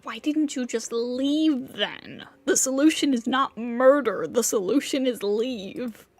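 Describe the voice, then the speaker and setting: A woman streaming a video game and commenting casually. Her voice is on the high side and slow-paced, with a blunt, mocking manner. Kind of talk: casual reactions to gameplay.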